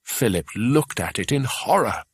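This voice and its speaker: A man narrating a children's adventure audiobook in a scripted storytelling style, with a husky voice. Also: mid-range voice, animated, unhurried, no echo, studio quality.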